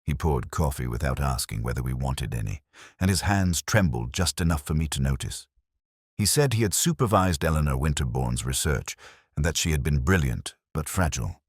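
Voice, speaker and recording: Man playing a very deep, brooding detective narrator. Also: lively intonation, slightly rough, no echo, clean studio sound, heavily acted. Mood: brooding, reflective. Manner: measured, literary, solemn delivery. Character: brooding, introspective male British police inspector. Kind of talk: scripted first-person detective story narration.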